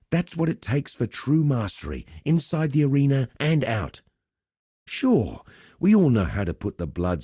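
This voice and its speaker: An actor playing a roguish, cheerful veteran pit fighter in a video game, talking in a colloquial, wry, swaggering storyteller's way. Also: male voice, on the low side, mellow, husky, no room sound, clean studio sound. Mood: earnest, admiring. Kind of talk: scripted game dialogue.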